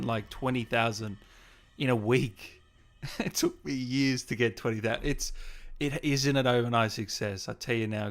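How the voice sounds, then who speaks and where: A man's voice: medium pitch, gravelly, fairly bright tone. A man hosting a livestream in casual, chatty style, often repeating his phrases as he talks to viewers. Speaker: livestream host, gaming interviewer. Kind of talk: casual livestream chat, reading and answering comments.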